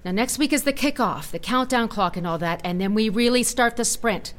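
An actress playing an uptight office manager in an audio drama, firm, clipped and blunt. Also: female voice, on the high side, brisk, natural voice. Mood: brisk, tense. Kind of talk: naturalistic satirical audio drama acting.

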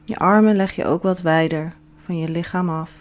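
A woman leading a guided relaxation as a yoga instructor, giving soothing, measured instructions with pauses. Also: on the low side, steady tone. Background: background music.

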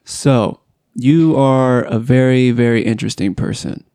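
A man hosting a casual interview, chatty and animated, with slangy asides. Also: mid-range voice, unhurried, no echo, clean studio sound. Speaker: host.

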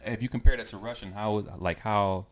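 Man, lowish voice, curious questions, halting, casual. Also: fairly even intonation. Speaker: interviewer. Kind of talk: casual conversation.

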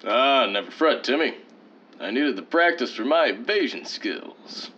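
Radio serial lead: swaggering, folksy adult war hero, a man acting, forceful bright voice, hammy bravado, dull lo-fi recording. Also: medium pitch, expressive, unhurried, husky, full character voice. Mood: cocky, folksy. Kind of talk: old-time radio adventure parody acting.

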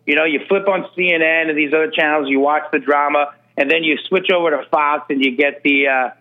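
A man's voice: a little high, steady tone, brisk. A man chatting casually and comedically as a radio show guest, wry and joking, over a dull lo-fi connection. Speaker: guest, comic actor.

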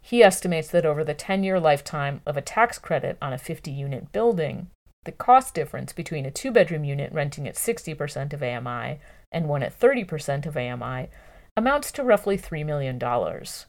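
A woman narrating a long-form news article from a script in a measured, clearly phrased way. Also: low voice, very animated, darkish tone.

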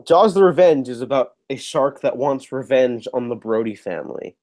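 A man's voice: mid-range voice, expressive, normal speed, darkish tone. A man hosting a casual movie podcast, slightly nasal from a cold, chatty and swearing casually. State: getting over a cold, a bit nasal. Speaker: podcast host.

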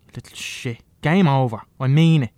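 A man's voice: mid-range voice, moderate pace, darkish tone, no echo, natural voice. An actor playing a weary, wary adult man with an Irish accent, blunt and exasperated, in naturalistic audio drama. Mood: stern, exasperated.

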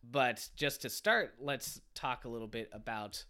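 A man with a bright, forceful voice hosts a relaxed podcast conversation. He sounds a little stuffy from a cold and keeps things moving with questions and easy laughter. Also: mid-range voice, a little slow. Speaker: podcast host. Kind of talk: casual podcast chat.

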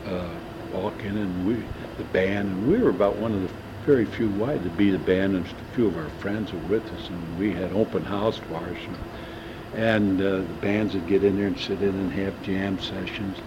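Man, 70s, low-ish voice, rambling reminiscer who loses names mid-sentence; muffled hissy tape. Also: moderate pace. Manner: rambling, often stalls to search for names. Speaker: oral history interviewee. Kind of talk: casual reminiscing. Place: indoors in a large banquet room. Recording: lo-fi tape with loud hiss.

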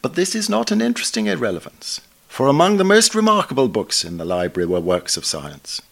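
A man reading a scripted book review aloud in a formal, measured, scholarly manner. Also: medium pitch, very animated, creaky, fairly bright tone.

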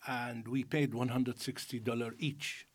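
A man panel guest in a studio discussion, telling his story haltingly with small laughs. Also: medium pitch, fairly even intonation.

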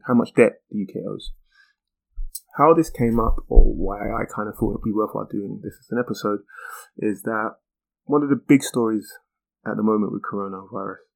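British man, casual explainer podcast host. Fairly low pitch, strong vocal fry, informal and chatty. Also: a little mellow, poor audio.

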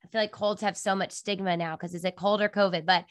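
A woman's voice: mid-range voice, fairly even intonation, fast talker, dry recording, studio quality. A woman hosting a podcast interview over a video call, talking in a chatty way with lots of fillers, her voice nasal from a cold. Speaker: podcast host. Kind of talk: casual interview chat.

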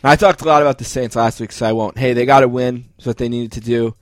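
A man with a North American accent co-hosts a casual sports show. His voice sits at an average pitch in a very dry recording. He is opinionated, poses pointed questions and swears freely. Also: steady tone, quick pace, no room sound. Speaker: sports podcast co-host.

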